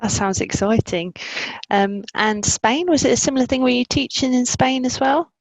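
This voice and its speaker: A woman's voice: fairly high pitch, moderate pace, no echo. A woman hosting a book interview, on a dull, lo-fi recording. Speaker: interview host. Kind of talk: friendly structured book interview. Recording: dull, dry, lo-fi sound.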